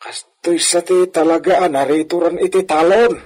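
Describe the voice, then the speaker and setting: An actor playing a humble old village fruit carrier in a radio drama, husky and folksy with frequent exclamations. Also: male voice, on the high side, steady tone, moderate pace, darkish tone, close to an everyday voice. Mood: humble, resigned.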